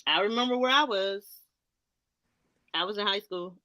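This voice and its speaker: A woman's voice: medium pitch, normal speed, pushed and bright, no room sound, highs cut off. A woman in her thirties joking casually in playful slang. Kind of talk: casual chat.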